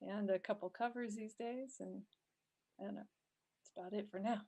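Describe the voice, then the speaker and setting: A woman chatting casually as a livestream panelist, brief and low-key. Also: fairly even intonation, moderate pace, vocal fry, mellow. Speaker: comic book colorist, panelist.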